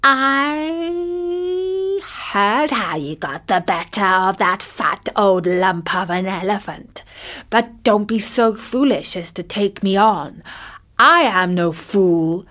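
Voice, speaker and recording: A woman's voice: medium pitch, lively intonation, slow, fairly bright tone. A woman voicing a large, smug hippopotamus in an animal fable, haughty and condescending. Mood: smug warning.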